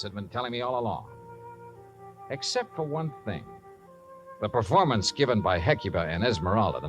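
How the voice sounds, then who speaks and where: A man playing a laconic, dry-witted insurance investigator who also narrates, in a 1950s radio drama. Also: medium pitch. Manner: laconic, dryly wry. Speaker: narrator and lead detective. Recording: vintage radio recording with music.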